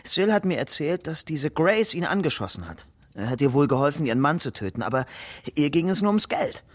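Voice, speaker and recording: A man's voice: a little high, big pitch swings, brisk, no echo. An actor playing an anxious, guarded young man on the run in a German radio crime drama. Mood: wary. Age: young adult. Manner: brooding narration alternating with urgent questioning. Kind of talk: German radio crime drama, first-person narration and dialogue.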